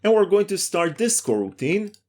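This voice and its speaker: A man's voice: high voice, big pitch swings, normal speed, darkish tone. A man giving a step-by-step screencast programming lesson in a methodical, explanatory way. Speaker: programming tutorial instructor.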